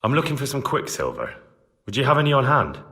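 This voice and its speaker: An actor playing a blunt, persistent young investigator in a medieval video game, with a direct, questioning manner. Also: male voice, medium pitch, wildly swooping pitch, quick pace, vocal fry, sharp tone, big-room sound, heavily acted. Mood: matter-of-fact.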